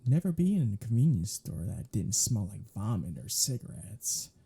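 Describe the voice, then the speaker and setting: A man voicing a gravelly, teasing vampire. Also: fairly low pitch, sing-song, moderate pace, warm tone, heavily acted. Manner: teasing, sardonic, flirtatious banter. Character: teasing captive male vampire, sardonic and flirtatious. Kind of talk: ASMR roleplay audio drama.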